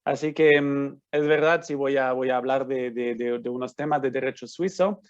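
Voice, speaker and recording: A man's voice: medium pitch, fairly even intonation, moderate pace, smooth. A Swiss man lecturing online as an invited lawyer, speaking a Spanish he learned in Argentina, hesitant with many restarts and fillers. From Switzerland. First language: not Spanish. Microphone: computer mic on video call. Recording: slightly dull lo-fi sound.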